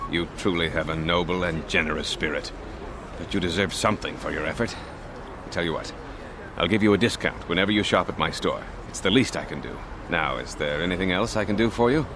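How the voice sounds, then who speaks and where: An actor playing an earnest, kindly medic and shopkeeper in a video game. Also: male voice, sits low, a little fast, background noise, lightly acted.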